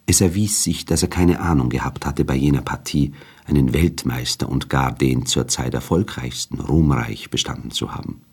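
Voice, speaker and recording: A man narrating a literary radio drama in a measured, formal storytelling manner. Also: extremely low pitch, husky.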